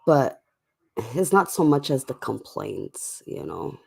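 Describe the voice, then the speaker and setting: A livestream host chatting casually and in a laid-back way to viewers late at night, with a voice going hoarse from a cough. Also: mid-range voice, sing-song, slow pace, darkish tone. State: sick with a cough, voice getting hoarse.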